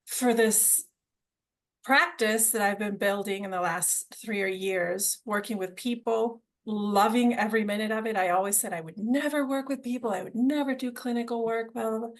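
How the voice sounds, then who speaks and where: A woman's voice: medium pitch, steady tone, normal speed. A middle-aged woman originally from Argentina, telling her story as a podcast guest in an enthusiastic, conversational way, often repeating words as she restarts.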